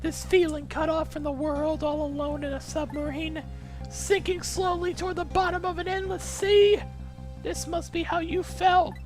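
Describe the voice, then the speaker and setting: A woman voicing an excitable, dramatic young game heroine in a read-aloud over music. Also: extremely high pitch, normal speed, rough recording. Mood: sad, reflective. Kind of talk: game dialogue read-aloud in a let's play.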